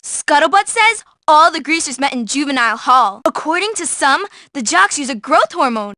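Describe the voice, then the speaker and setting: A woman's voice: very high-pitched, pushed and bright, no echo, studio quality, full character voice. A woman voicing a bookish, haughty teenage schoolgirl in a video game, with pompous, precise diction and a prim manner. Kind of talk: scripted video game character lines.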